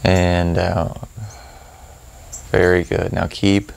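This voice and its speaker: Male meditation guide, calm, slow delivery with a creaky low register and roomy pauses between instructions. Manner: calm, unhurried, with long pauses. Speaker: meditation teacher.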